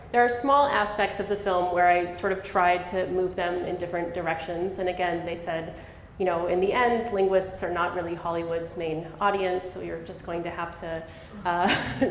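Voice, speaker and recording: A woman answers questions at a public talk, explaining her points thoughtfully with a light laugh now and then. Her voice sits a bit low. The sound carries the echo of a big room, with faint ambience behind. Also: slight background noise. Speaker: linguist giving a public talk. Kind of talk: conversational public Q&A. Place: lecture hall. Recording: echoey hall with faint ambience.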